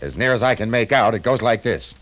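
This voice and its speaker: An actor playing a tough, shrewd cafe owner turned detective in a 1950s radio drama, terse and hard-boiled. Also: male voice, on the low side, animated, brisk, fairly bright tone. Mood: blunt, urgent.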